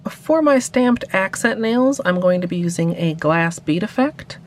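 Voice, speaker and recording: Calm woman narrating a nail tutorial. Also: on the low side, unhurried, soft background music. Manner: calm step-by-step instructions. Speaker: nail art tutorial host.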